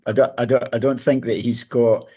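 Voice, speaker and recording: A middle-aged or older man with a slightly hoarse voice, co-hosting a podcast with casual sports analysis. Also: mid-range voice, level tone, normal speed, a little mellow, muffled recording. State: a bit hoarse. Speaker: podcast co-host. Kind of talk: casual sports commentary chat.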